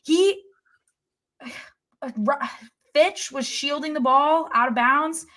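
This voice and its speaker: A woman's voice: sits high, slow, pushed and bright. A woman co-hosting a podcast in casual, opinionated sports fan chat.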